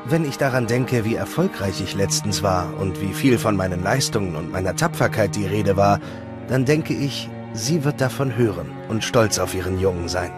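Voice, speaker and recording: A man's voice: fairly low pitch, expressive, normal speed, natural voice. An actor playing a proud young army officer reading a loving letter to his wife in a documentary drama. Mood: tender pride. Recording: loud music behind the voice. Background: music.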